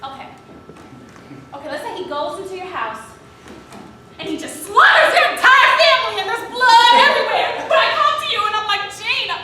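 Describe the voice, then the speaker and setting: Actress as dramatic boy-crazy young woman, comic stage acting, very high voice, gushing, strong room echo, clear background noise. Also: very animated, brisk. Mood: pleading, giggly. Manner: gushing, giggly, theatrical. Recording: very echoey room, distant mic, noisy.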